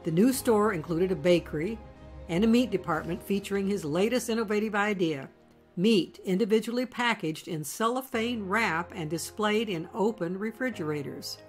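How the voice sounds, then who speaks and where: A woman giving scripted documentary narration in a measured, storytelling delivery. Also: mid-range voice, soft background music.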